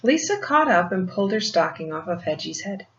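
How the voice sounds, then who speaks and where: An older woman reads a picture book aloud like a grandmother, pausing for gentle explanations and questions to the child. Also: medium pitch, very animated. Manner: gentle teacherly asides, asking the child questions. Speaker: grandmother storyteller reading to children. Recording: muffled, roomy home recording with faint noise.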